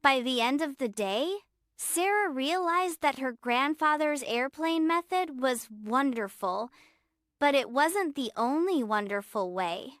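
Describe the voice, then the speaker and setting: A woman reads a children's story aloud in a gentle, even storybook manner. Her voice is high and her pace slow and careful. The recording is dry and close. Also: no echo. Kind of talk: scripted children's story narration.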